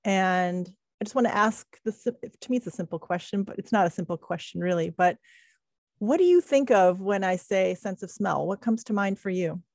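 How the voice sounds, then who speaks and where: A woman's voice: medium pitch, normal speed, darkish tone, dry recording, clean studio sound. A woman hosting an interview podcast, encouraging her guest with plenty of affirming interjections. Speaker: podcast host.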